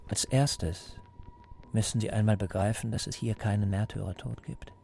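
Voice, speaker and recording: An actor playing a coldly patient, menacing party interrogator who lectures deliberately and insistently in a radio drama. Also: male voice, fairly low pitch, fairly even intonation, vocal fry, mellow, faint music behind. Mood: cold, lecturing. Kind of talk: German radio drama acting.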